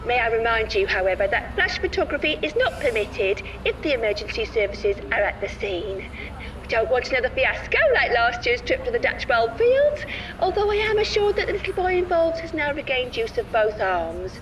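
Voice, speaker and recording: Casting: perky, officious British coach guide, high lilting announcements, comic radio play, clear vehicle noise behind. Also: female voice, very animated, moderate pace, fairly bright tone, low-quality recording. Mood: bright, officious. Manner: scripted, sing-song announcer patter. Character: cheerily officious female coach tour guide. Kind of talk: comic audio drama announcement.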